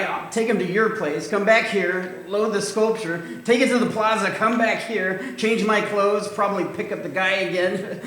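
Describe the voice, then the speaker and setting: Sarcastic slacker sculptor, played by a man, bright and high. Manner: sarcastic, reluctant. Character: lazy sarcastic young male sculptor. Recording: echoey stage.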